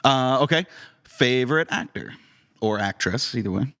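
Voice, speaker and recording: Male interviewer, bright forceful tone, lively swooping pitch, informal and enthusiastic. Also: moderate pace. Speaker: podcast host, interviewer. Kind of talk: casual chat, asking questions.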